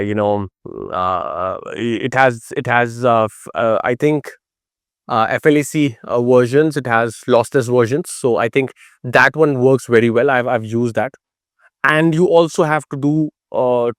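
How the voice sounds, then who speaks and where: A man in his thirties with an Indian accent gives a casual, unscripted product review, haltingly, repeating words for emphasis. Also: medium pitch, dry recording, studio quality. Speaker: motorcycle gear reviewer. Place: outdoors in a garden beside a motorcycle.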